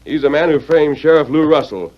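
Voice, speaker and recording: An actor playing a masked cowboy hero, commanding and just, in 1940s radio western style. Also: male voice, a little high, fairly quick, darkish tone, lightly acted. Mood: forceful, commanding. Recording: dull, noisy 1940s radio recording.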